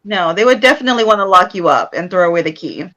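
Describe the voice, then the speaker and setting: A woman co-hosting a casual chat who speaks in a relaxed, conversational way. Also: on the low side, fast talker. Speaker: co-host.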